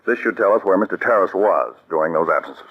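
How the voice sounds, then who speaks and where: An actor playing a calm, methodical male counterspy chief who gives crisp orders, in 1940s radio acting. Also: low-pitched, fast talker. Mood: steady, commanding. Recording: muffled old radio recording.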